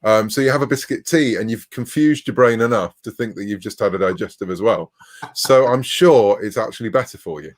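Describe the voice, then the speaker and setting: A man's voice: fairly low pitch, a little fast. A man from Bristol with an English accent, chatting casually as an interview guest in a wry, self-deprecating way. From Bristol, England. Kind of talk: casual anecdotal chat.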